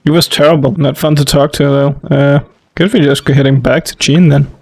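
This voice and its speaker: A man's voice: fairly high pitch, fairly even intonation, normal speed, vocal fry, no echo. A man giving casual gameplay commentary in an informal, rambling way. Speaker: gamer, let's player.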